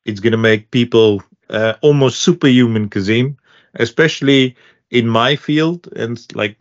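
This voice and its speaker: Man chatting tech, slightly dull sound. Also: mid-range voice. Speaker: tech show co-host, security specialist. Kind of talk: casual tech discussion. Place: small home office, video call. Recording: slightly dull video-call audio.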